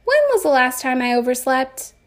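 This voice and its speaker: An earnest teenage girl from a storybook, read by a woman. Her voice is fairly high, and she speaks firmly and matter-of-factly with an exasperated edge. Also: very animated, normal speed. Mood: dry, certain.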